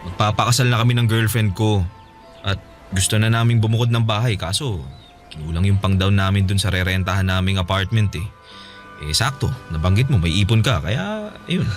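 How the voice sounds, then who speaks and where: A man's voice: fairly low pitch, expressive, a little fast, soft background music, close to an everyday voice. A man playing a cheeky, carefree young older brother in a radio drama, joking and casual. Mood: cheeky, wheedling. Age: young adult. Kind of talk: Filipino radio drama acting.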